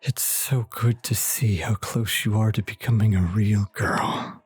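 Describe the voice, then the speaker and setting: A man playing a sinister, doting doll shop owner in a horror audio drama, courtly, hushed and unhurried. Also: fairly low pitch, fairly even intonation, breathy edge, no room sound, faint music behind.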